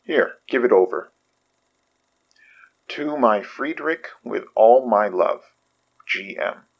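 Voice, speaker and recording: A man acting in an audio horror drama as a blunt, level-headed, sharp-minded investigator. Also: lowish voice, lively intonation, slow, vocal fry, highs cut off, full character voice. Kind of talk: audio fiction podcast acting.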